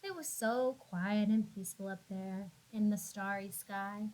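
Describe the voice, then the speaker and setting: A woman narrating a children's storybook as a teacher would, expressively, with drawn-out words and dramatic emphasis for young listeners. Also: mid-range voice, darkish tone, poor audio. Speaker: classroom teacher reading aloud.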